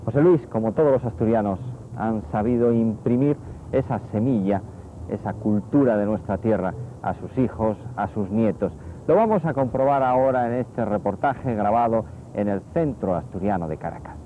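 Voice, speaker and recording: Male presenter, lowish voice, formal steady intro; thin phone-like sound, faint hiss. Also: normal speed. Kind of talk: studio introduction of a report. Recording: thin, phone-like old broadcast audio.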